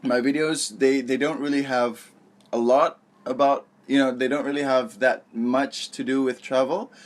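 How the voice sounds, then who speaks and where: A young man with a mid-range voice chats informally to his viewers in a storytime vlog. He rambles along in a conversational way, correcting himself and padding his sentences with small filler phrases. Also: medium pitch. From born in Malaysia, raised abroad. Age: young adult. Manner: rambling, informal, self-correcting, peppered with "you know" and "like". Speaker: vlogger. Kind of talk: casual storytime vlog chat.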